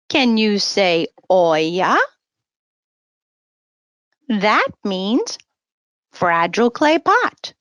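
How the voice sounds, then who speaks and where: A woman reading a bilingual children's story aloud in a teacherly way, with simple, deliberate phrasing. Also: medium pitch, a bit bright, dry recording. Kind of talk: read-aloud bilingual children's story with word-repeat prompts.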